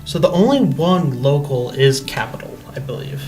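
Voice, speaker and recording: A woman of about twenty co-hosting a college radio chat, talking casually in a halting, slangy way. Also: extremely low pitch, animated, a little mellow. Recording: music and crowd chatter behind. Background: music, people chattering.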